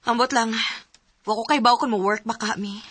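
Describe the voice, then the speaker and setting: A woman's voice: fairly high pitch, fairly even intonation, moderate pace, fairly bright tone, no room sound. An actress playing a hesitant, heartbroken young woman in a radio drama, with a Cebuano Filipino accent. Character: heartbroken young woman telling her love story, pleading, hesitant.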